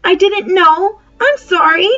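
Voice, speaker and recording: A woman's voice: big pitch swings, normal speed, muffled recording. A woman doing an eager, outspoken young girl. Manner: eager and indignant, pitched up and louder than the narration.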